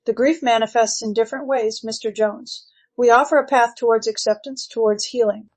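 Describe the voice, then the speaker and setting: A woman plays a grief-therapy doctor with a fairly high voice. She is composed and formal, reassuring and placating as she delivers her screenplay lines. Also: steady tone, brisk, close to an everyday voice. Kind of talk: acted screenplay reading.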